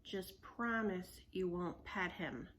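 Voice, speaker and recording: A woman's voice: fairly low pitch, slow, a little hiss or hum. Woman voicing a cautious, urgent, bossy young boy. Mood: exasperated, stern. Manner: urgent, often hushed and whispery. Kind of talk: children's storybook read-aloud.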